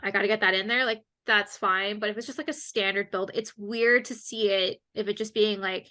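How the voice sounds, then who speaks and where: Bright, chatty woman interviewer. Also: medium pitch, steady tone, brisk. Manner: chatty, rambling, with lots of fillers. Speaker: interviewer, channel host. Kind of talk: casual interview chat.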